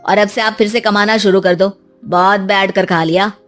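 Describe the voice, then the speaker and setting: A woman's voice: medium pitch, steady tone, normal speed, fairly bright tone, soft background music, lightly acted. A woman voicing an insolent, lazy son in a cartoon story, speaking in a rude, defiant way.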